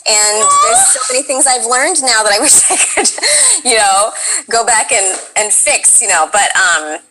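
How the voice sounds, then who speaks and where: A woman's voice: on the high side, sing-song, measured pace, sharp tone, slight background noise, poor audio. A woman speaking as a guest voice actor in a casual chat, thoughtful, with frequent laughs and fillers.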